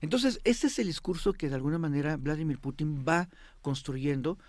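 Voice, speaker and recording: A man's voice: a little high, moderate pace, darkish tone, no echo. A man speaking as an expert guest in a studio interview, explaining with emphasis and often checking that the listener follows. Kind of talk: interview commentary on politics.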